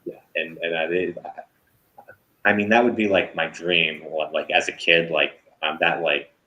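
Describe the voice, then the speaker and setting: Low-voiced hesitant man. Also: steady tone, sharp tone, dull audio. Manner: halting, many fillers and restarts. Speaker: author guest. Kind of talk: casual interview chat.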